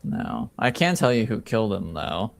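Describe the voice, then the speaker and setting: A man's voice: lowish voice, sing-song, moderate pace, creaky, a little hiss or hum. A man voicing a laconic, easygoing, shady tavern informant in a tabletop game, casual and cagey. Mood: matter-of-fact.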